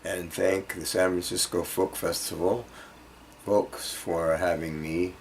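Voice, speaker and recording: A man giving an informal guitar lesson, speaking haltingly as he thinks aloud and demonstrates. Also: deep voice, flat delivery, a little hiss or hum. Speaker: guitar teacher. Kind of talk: informal instructional music lesson. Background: acoustic guitar playing.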